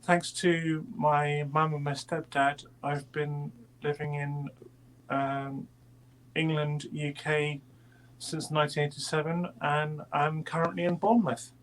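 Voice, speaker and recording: Slow-talking middle-aged woman from Sweden. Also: deep voice, level tone, low-quality recording. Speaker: guest musician. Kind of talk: casual interview chat.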